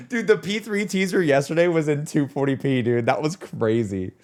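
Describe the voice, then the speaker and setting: A man livestreaming in a casual, slangy, repetitive way, talking to his chat and reacting off the cuff. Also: a little high. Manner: slangy, repetitive, full of "like", "bro" and "dude". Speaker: streamer.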